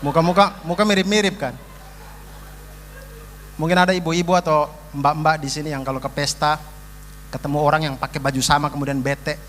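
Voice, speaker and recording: A man's voice: a little high, fairly even intonation, a little fast, pauses often, fairly bright tone. A man with an eastern Indonesian accent performing stand-up comedy, repeating phrases for emphasis. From East Nusa Tenggara, eastern Indonesia. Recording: reverberant hall, faint noise.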